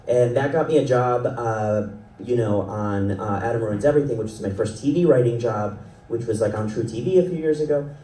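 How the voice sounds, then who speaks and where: A man's voice: medium pitch, brisk, mellow. A man in his thirties from South Florida, speaking as a panelist at a festival in a rambling, self-deprecating way and laughing often. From South Florida, Ecuadorian family. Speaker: panelist, animation writer and creator. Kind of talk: panel discussion. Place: event panel hall. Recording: roomy sound from a distant mic, faint noise.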